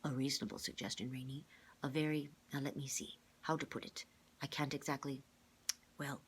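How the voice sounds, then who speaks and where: A woman voicing a grave, gentle older male mentor with a measured, formal way of speaking, reading a children's storybook aloud. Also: very deep voice, fairly even intonation, a little hiss or hum. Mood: hesitant, modest. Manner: measured, formal, careful wording.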